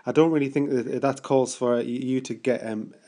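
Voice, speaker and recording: A man's voice: mid-range voice, steady tone, moderate pace, dark tone. A British man making an improvised prank call, rambling and stammering with mock-earnest seriousness. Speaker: prank caller.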